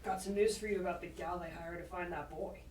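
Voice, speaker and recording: A woman's voice: fairly low pitch, steady tone, fast talker, darkish tone, lightly acted. A woman playing a suspicious informant in an audio drama. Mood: suspicious, urgent. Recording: echoey, distant mic with hiss.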